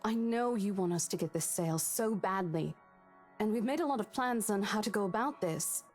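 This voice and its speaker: An actress playing a concerned young woman colleague in a visual novel, earnest and coaxing, gentle but firm. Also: medium pitch, animated, normal speed, soft background music, lightly acted. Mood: hesitant, firm. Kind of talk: dramatic game voice acting.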